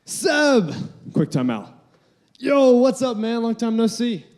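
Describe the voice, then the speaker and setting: A young man playing a cocky, self-centered high school boy in a staged reading. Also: big pitch swings, slow pace, a little mellow, exaggerated voice. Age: young adult. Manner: cocky, mocking. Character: smug, arrogant teen boy class king.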